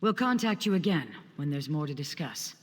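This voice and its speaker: Solemn guild officer, fairly high voice, formal and urgent, fantasy game, long reverb. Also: male voice, big pitch swings, moderate pace, a little hiss or hum. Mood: troubled, solemn. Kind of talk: fantasy video game acting. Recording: long reverb with faint music.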